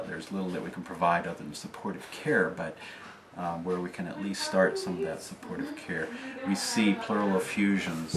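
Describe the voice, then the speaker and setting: Low-voiced man, clinical and measured. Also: noisy background. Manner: measured, clinical terms, frequent fillers. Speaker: clinic medical staff. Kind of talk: informal recruiting talk.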